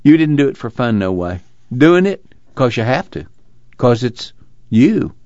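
A man voicing an earnest, excitable but weary labor organizer in an audiobook, pleading in a folksy dialect. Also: medium pitch, a little slow, no room sound, highs cut off, pitched above the performer's own voice. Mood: weary, reflective. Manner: rural uneducated American dialect, pleading and preaching.

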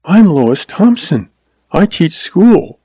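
Young frontier woman, played by a male narrator, schoolteacher, raised pitch, earnest, imploring, Western storybook. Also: very animated, takes it slowly, mellow, breathy edge, no room sound, studio quality, heavily acted. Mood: hopeful.

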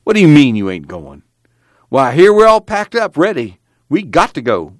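A worried farm father, as a male narrator voices him in an audiobook. His folksy rural speech is urgent and pleading, with lively rises in pitch. The sound is dry and close. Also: moderate pace, no echo.

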